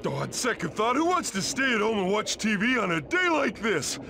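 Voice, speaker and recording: A man's voice: sits high, sing-song, moderate pace, creaky, background noise, heavily acted. A man voicing a dim, happy-go-lucky pink sea creature in a cartoon game, goofy and carefree.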